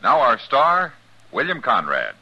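A man's voice: medium pitch, wildly swooping pitch, unhurried, husky, no echo. A man announcing a 1950s radio drama from a script, formal and dramatically projected. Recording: dull old radio recording over music.

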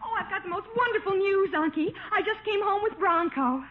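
An adoring young bride in an old radio comedy. Her voice is extremely high and full of gushing enthusiasm. The sound is narrow, like a telephone. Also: a little fast, background noise. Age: young adult. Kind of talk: 1950s radio sitcom acting.

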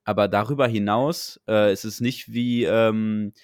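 A man's voice: fairly low pitch, fairly even intonation, measured pace, clear, resonant tone, no echo, clean studio sound. A young man in casual podcast chat, talking in a tentative, rambling, colloquial way as a co-host. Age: young adult.

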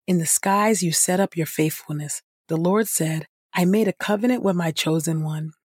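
A woman reading scripture as a podcast host, in a measured style with deliberate, reverent phrasing. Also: on the low side, a little mellow, husky, no room sound, clean studio sound.

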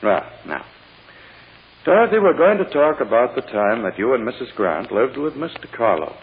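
A man's voice: mid-range voice, very animated, moderate pace, vocal fry. Pompous male prosecutor, condescending, coaxing, swooping intonation, old muffled radio drama recording. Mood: coaxing, insistent. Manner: coaxing, condescending, formal courtroom address. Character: pompous, impatient male prosecutor questioning a child witness. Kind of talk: 1940s radio drama acting.

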